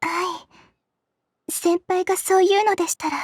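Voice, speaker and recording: Casting: polite, easily flustered teenage schoolgirl for a visual novel, very high voice, dry studio sound. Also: little pitch movement, fast, a little mellow, no echo. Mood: anxious. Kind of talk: Japanese visual novel voice acting.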